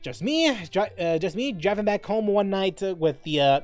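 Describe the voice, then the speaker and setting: A man with a fairly high-pitched voice talks as a gaming streamer. His delivery is informal and rambling, moving between reading aloud and offhand commentary. Soft music plays in the background. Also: sing-song, moderate pace, no echo. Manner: informal, rambling, mildly profane. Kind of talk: casual stream chat, reading quiz questions aloud and reacting.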